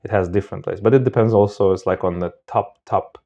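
A man speaking casually as an interview guest, often searching for his words in halting phrases. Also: on the low side, gravelly, dark tone, dull audio. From Moldova. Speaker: podcast guest.

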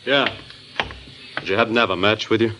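A man's voice: on the low side, fairly quick, music in the background, lightly acted. An actor playing a curt, menacing American henchman in an old radio crime drama. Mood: casual. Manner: curt, threatening, slangy. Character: tough, menacing male hoodlum, gangster's henchman. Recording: dull old radio recording.

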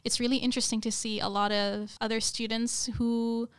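A young woman with a fairly high, clear, smooth voice speaks as an interview guest. She is earnest and effusive, talking conversationally and doubling words for emphasis. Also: little pitch movement, normal speed. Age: young adult.

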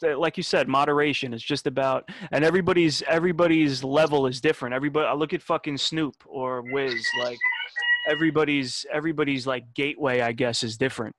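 A man's voice: a little high, fairly even intonation, quick pace, noisy background. A man in his twenties talking casually on a podcast, laid-back, swearing and restarting his sentences. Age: 20s. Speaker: podcast host.

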